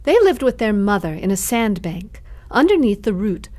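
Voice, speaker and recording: A woman storyteller narrating a scripted children's tale in a measured way suited to young listeners. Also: mid-range voice, sing-song, a little mellow.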